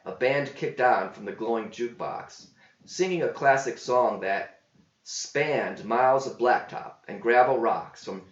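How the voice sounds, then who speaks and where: Male narrator for epic verse, unhurried and measured, roomy slightly muffled sound. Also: medium pitch. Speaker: author reading own verse epic. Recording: roomy, slightly dull home recording.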